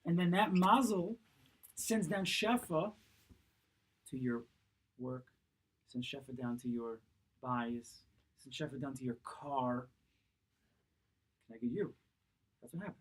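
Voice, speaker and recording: A man with a husky, unpolished voice at a middling pitch teaches a religious text as a rabbi would. He explains it phrase by phrase, posing short questions to his listeners and answering them himself. Key words come back again for emphasis. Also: slow, long pauses. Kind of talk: Torah class, explaining a text. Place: small synagogue study room, seated at a table. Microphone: camera a few feet away across the table.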